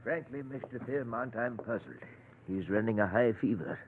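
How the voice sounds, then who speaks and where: An actor playing a grave family doctor in a 1940s radio drama, measured, formal and husky. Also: male voice, medium pitch, a little hiss or hum, close to an everyday voice. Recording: muffled old radio recording.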